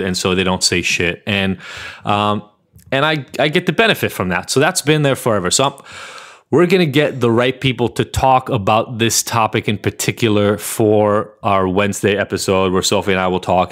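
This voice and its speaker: A man's voice: fairly low pitch, a bit bright. Blunt, emphatic man hosting a podcast. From Israel. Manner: blunt and emphatic, with frequent swearing. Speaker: podcast host. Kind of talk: impassioned solo podcast monologue.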